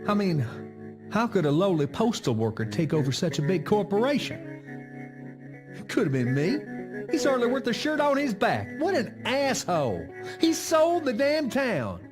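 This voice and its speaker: A man's voice: high voice, huge pitch swings, normal speed. An actor playing a jealous, bitter townsman in a video game, grumbling and offhand. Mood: bitter, resentful. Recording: guitar music behind the voice.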